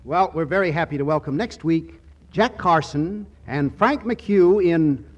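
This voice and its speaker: A man's voice: a little high, lively intonation, a little slow. A man hosting a 1940s radio show. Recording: old radio broadcast, faint audience.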